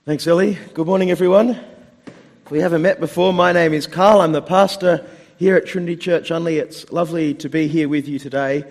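Slightly croaky pastor in a reverberant hall. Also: male voice, fairly high pitch, steady tone, brisk. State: slightly hoarse. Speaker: pastor preaching. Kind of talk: sermon with storytelling. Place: church hall, speaking to a congregation. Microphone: microphone with amplification. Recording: long hall reverb through PA microphone.

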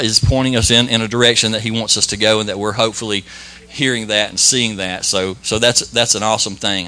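A man giving an informal church sermon and prayer, folksy and modest, talking in halting phrases with many restarts and fillers. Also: medium pitch, pushed and bright. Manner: modest, plain-spoken. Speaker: lay preacher.

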